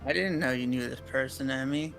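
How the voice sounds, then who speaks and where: Aloof, dry visual-novel schoolgirl, read by a man, deadpan and flat, faint music behind. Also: mid-range voice, a little fast, gravelly, pitched below the performer's own voice.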